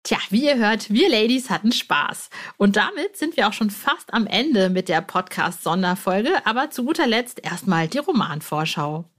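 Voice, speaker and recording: A woman's voice: mid-range voice, expressive, moderate pace, few pauses, a bit bright. A woman hosting a podcast in an informal, chatty and effusive way, with casual announcements. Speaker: podcast host.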